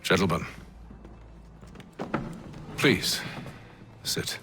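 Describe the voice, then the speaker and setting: An actor playing a composed, commanding gentleman leader of a secret order in a video game, speaking formally. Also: over music. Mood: composed, authoritative. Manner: formal, solemn, measured. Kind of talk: dramatic video game acting.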